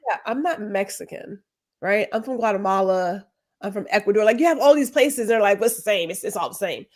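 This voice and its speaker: A woman's voice: medium pitch, fairly quick, a little mellow. A woman co-hosting a podcast, talking in a casual, emphatic way with lots of rhetorical questions. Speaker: podcast co-host. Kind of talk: casual conversation.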